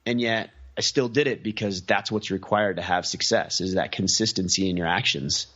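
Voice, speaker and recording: A man's voice: deep voice, fairly even intonation, moderate pace, fairly bright tone, dull audio. A man talking as a podcast guest in a casual interview, earnest and piling up lists of examples. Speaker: podcast guest, community founder.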